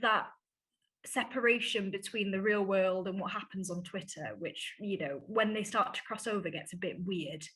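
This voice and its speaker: Woman, activist interviewee; bright timbre, thoughtful, unhurried delivery. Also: mid-range voice. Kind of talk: documentary interview.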